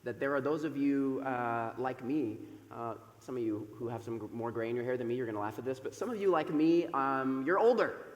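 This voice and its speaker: A man in his thirties, a pastor speaking from the pulpit in a church sanctuary through a microphone, loud and chatty with many fillers. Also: medium pitch, a little fast, hardly any pauses. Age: 30s. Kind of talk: sermon. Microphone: microphone over a PA system. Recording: echoey church hall with long reverb.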